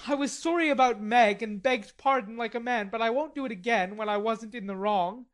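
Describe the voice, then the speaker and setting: A woman reading an audiobook, voicing a stubborn, hot-tempered young gentleman in indignant outbursts. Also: fairly high pitch, brisk, pitched above the performer's own voice, lightly acted. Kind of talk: audiobook character voice.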